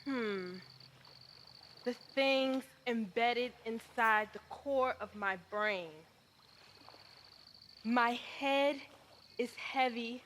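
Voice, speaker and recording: A woman acting out a hurt but defiant woman who feels unseen, in a stage-style monologue. Her voice sits a little high and moves very slowly, with deliberate emphasis and pleading pauses. Faint outdoor noise sits behind her. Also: a bit bright. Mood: weary, despairing. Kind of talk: dramatic spoken monologue performance.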